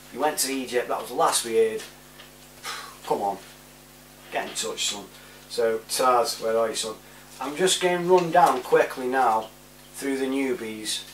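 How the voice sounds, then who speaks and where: A man in his forties talking casually to camera, rambling in a matey, informal way. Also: mid-range voice. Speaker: amateur video presenter. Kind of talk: casual chatty shout-outs to camera. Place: small living room, indoors. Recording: roomy, distant mic with hum.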